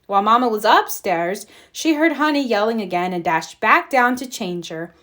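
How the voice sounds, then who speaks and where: A woman reads a children's storybook aloud. Her voice sits at a medium pitch, and she narrates as a storyteller would for young listeners. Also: lively intonation, normal speed, a bit bright. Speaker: storybook reader.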